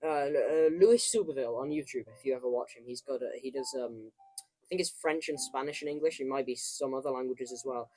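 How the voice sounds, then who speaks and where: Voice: mid-range voice, quick pace, a little mellow. A teenage student co-hosting a casual podcast, rambling through a story with many stops and restarts. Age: teens. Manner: rambling, full of ums and restarts. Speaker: podcast co-host. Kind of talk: casual chat.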